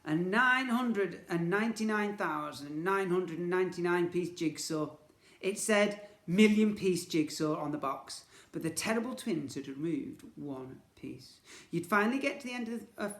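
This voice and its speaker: Male storyteller for young children, expressive and theatrical, recorded in a roomy, echoing space. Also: high-pitched, normal speed. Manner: theatrical, expressive, with emphatic sound words. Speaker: teacher reading a story to children. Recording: echoey room.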